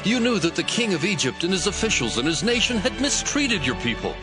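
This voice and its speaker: An actor playing an impassioned Levite praying in a solemn, oratorical dramatized scripture reading. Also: male voice, sits high, sing-song, a little fast, over music. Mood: solemn awe. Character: impassioned male Levite recounting God's deeds in prayer.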